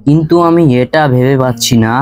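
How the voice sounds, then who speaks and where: A man voicing a kind, trusting, humble younger brother from a village in an animated folk tale, earnest and mild. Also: medium pitch, a little fast, soft background music, close to an everyday voice. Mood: worried, resolute.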